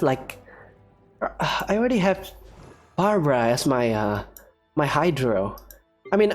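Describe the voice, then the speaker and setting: A video game streamer giving casual, chatty commentary to viewers, rambling and thinking aloud. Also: mid-range voice. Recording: game music behind the voice. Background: video game music.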